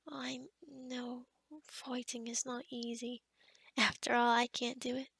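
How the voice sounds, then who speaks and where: Woman acting as gentle young forest witch, very breathy whispery voice, close and dry, hesitant, socially awkward, ASMR roleplay. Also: on the high side, completely flat delivery, dry recording, full character voice. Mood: curious, gentle. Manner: gentle, hesitant, with small stammers and pauses. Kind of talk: soft-spoken ASMR roleplay, half whispered.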